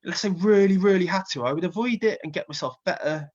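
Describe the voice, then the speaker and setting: A Welsh man with a slight cold talks in a loose, rambling way, full of ums and asides. His voice sits on the higher side for a man. The sound is dull and muffled, like lo-fi call audio. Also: fast talker, a little mellow. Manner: chatty, rambling with many fillers. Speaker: festival co-founder and music booker. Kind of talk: casual interview chat.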